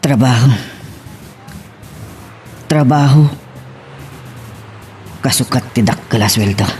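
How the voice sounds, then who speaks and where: A man's voice: medium pitch, takes it slowly, a little mellow, soft background music, lightly acted. Man acting a brooding, burdened Filipino husband. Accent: Ilocano Filipino. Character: brooding working husband abroad, burdened by guilt. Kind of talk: Ilocano radio-style drama acting.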